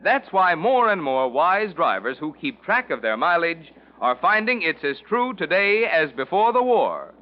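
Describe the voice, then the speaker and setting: A male announcer from 1940s radio with a fairly high, hugely animated voice. He sells in an emphatic, polished way on a dull, muffled old recording. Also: a little slow. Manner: emphatic, polished salesman delivery. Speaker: commercial announcer. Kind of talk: 1940s radio advertisement read.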